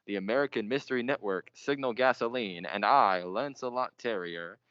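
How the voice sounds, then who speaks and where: An actor playing a pompous, melodramatic 1940s radio mystery host, theatrical and portentous in manner. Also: male voice, lowish voice, a bit bright, clear, resonant tone, no echo, lightly acted. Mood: grandiose. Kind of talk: old-time radio mystery narration. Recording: muffled, noisy amateur stage recording.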